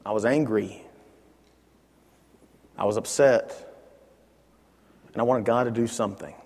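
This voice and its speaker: Loud man teaching a Bible class. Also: fairly low pitch, unhurried, creaky, long reverb tail. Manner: loud, emphatic, repeats words as he restarts. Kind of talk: Bible lesson, preaching. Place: church classroom. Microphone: microphone in a classroom.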